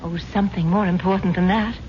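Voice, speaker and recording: An actress playing a naive, wealthy wife who talks sweetly and gushingly and flares up into indignation, in a 1970s radio drama. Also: female voice, mid-range voice, steady tone, fast, natural voice. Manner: gushing and breathy-sweet, prone to sudden indignation. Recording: muffled old radio broadcast with hiss.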